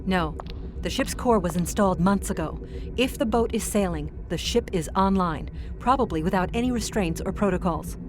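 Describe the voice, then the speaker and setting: A woman playing a sharp, commanding, sarcastic starship crew member in an audio drama, speaking in a forceful, exasperated way. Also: medium pitch, normal speed, close to an everyday voice. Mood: grimly reasoning.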